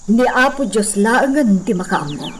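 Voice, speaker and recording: A woman playing a genteel hacienda lady in a radio drama, speaking in a measured, sighing, conversational way with an Ilocano accent. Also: medium pitch, big pitch swings, slight background noise, lightly acted. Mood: troubled, pensive. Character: genteel hacienda lady, a senyora addressing a worker.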